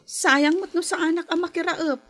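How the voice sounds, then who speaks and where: A woman's voice: very high voice, steady tone, normal speed, a bit bright. An actress playing a patient but firm, long-suffering wife and mother in an Ilocano radio drama, insistent and pleading.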